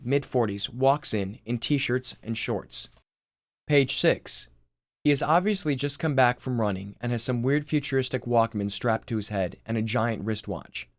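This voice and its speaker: A man's voice: medium pitch, dry recording. Man narrating a script, dry studio sound. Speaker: screenplay reader. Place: recording studio.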